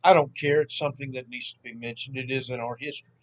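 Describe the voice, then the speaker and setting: A man in his thirties with a Southern Mississippi accent, chatting casually at home as the host of a history channel, relaxed and rambling. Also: medium pitch, fast, poor audio. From Southern United States (Mississippi). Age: 30s. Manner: rambling, relaxed, self-deprecating. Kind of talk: casual vlog chat. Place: at home, sitting.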